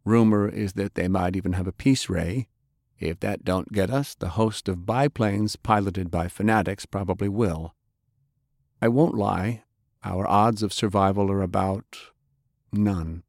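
Guy doing a calm resolute pirate airship captain for an audiobook, measured rallying speech, dry close sound. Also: deep voice, no room sound. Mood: grim, frank. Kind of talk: audiobook character acting.

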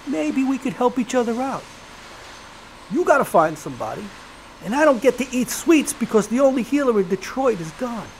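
High-voiced, animated gangster boss. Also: male voice, normal speed, creaky, a little hiss or hum, exaggerated voice, low-quality recording. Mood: sly, amused. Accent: American. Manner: streetwise slang, sly insinuation, theatrical. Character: gleeful sweet-toothed gangster crime boss, sly and menacing. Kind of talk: period gangster audio drama acting.

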